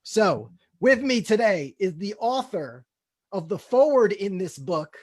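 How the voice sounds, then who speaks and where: High-voiced man hosting a comedy table read. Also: very animated, a little slow, darkish tone. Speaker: comedian host reading stage directions. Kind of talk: casual hosting and deadpan script reading.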